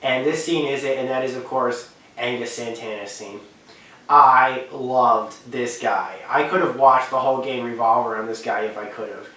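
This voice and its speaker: A man's voice: mid-range voice, steady tone, normal speed, pushed and bright. A man hosting a countdown video with enthusiastic, rambling casual commentary. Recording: very echoey room, distant mic.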